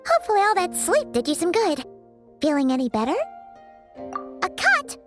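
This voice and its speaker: A woman voicing an excitable, chatty little fairy companion in a game who refers to herself in the third person. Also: extremely high pitch, moderate pace, exaggerated voice. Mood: chirpy. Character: tiny floating female fairy companion, chirpy. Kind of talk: game dialogue. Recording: game audio with music behind.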